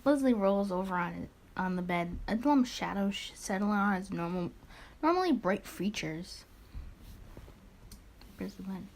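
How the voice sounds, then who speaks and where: A woman's voice: mid-range voice, expressive, a little slow, long pauses, a little mellow. A woman hosting a let's play, reading a visual novel aloud expressively with casual muttered asides and small stumbles.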